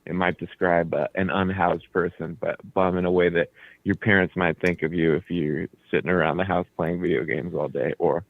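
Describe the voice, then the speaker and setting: A man's voice: low voice, flat delivery, moderate pace, vocal fry, no echo. A man whose first language is Croatian, a band leader chatting as a radio guest and explaining things at length with easy fillers. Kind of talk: casual radio interview. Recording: dry, phone-like narrow sound.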